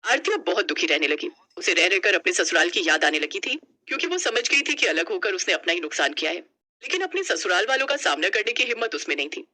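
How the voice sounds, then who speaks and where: A woman's voice: fairly low pitch, big pitch swings, fast, sharp tone. A woman storyteller narrating a scripted family tale.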